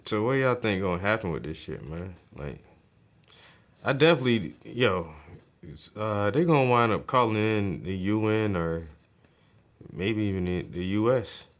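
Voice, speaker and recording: A man streaming his reaction to a news broadcast, talking loudly and excitably and swearing constantly. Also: fairly low pitch, normal speed. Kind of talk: casual profane reaction chat.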